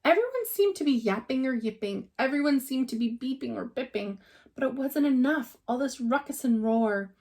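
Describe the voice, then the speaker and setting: A woman with a high voice reads a children's story aloud. Her narration is expressive, in the way of a teacher reading to young pupils. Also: moderate pace.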